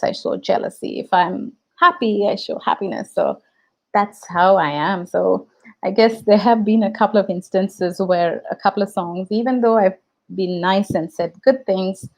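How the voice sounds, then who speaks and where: Woman, Indian English accent, native Tamil speaker, gravelly vocal fry, mid-pitched, casual live-stream chat. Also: darkish tone. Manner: reflective, chatty, frequent fillers and restarts. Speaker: YouTube reaction host.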